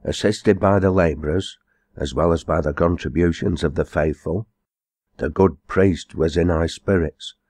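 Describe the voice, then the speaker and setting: Deep-voiced man narrating a storybook. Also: dark tone, no room sound. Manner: measured, formal storytelling. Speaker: audiobook reader.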